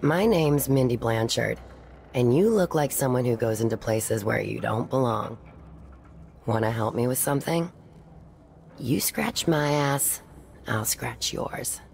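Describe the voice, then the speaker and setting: An actress playing a brash, crude, streetwise schemer in a video game, with a gravelly voice and a sly, blunt manner. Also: female voice, a bass voice, a little slow, a little mellow, slight background noise, exaggerated voice. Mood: sly, brazen. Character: brash crude female underworld schemer, shady and self-assured. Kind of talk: video game character dialogue.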